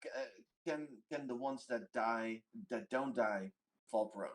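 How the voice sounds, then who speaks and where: A man's voice: medium pitch, measured pace. A man playing a tabletop game, chatting casually in a hesitant, stammering way.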